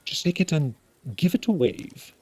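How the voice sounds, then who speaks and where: A man voicing an eccentric, eerie old wandmaker, soft-spoken and musing, in a theatrical storybook reading. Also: fairly high pitch, sing-song, husky, pitched above the performer's own voice. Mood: brisk, eager. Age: older. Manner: musing, theatrical, drawn-out phrasing with sudden eager bursts. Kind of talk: dramatic audiobook reading with character voices.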